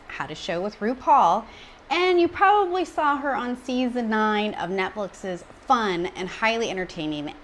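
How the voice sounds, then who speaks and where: A woman's voice: mid-range voice, a little hiss or hum. Bubbly woman interview host. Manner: bubbly, encouraging, quick back-channel responses. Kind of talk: upbeat show intro and interviewing.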